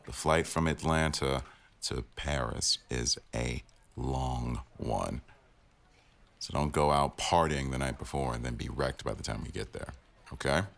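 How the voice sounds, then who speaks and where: Very low male voice, acted: demanding newsroom boss for audio roleplay, dry wit, firm authority. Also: measured pace, creaky, fairly bright tone, full character voice. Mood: brisk, businesslike. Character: exacting, sardonic male executive news producer, the boss. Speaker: voice actor in a boyfriend audio roleplay. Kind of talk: scripted ASMR roleplay, addressing staff at a meeting.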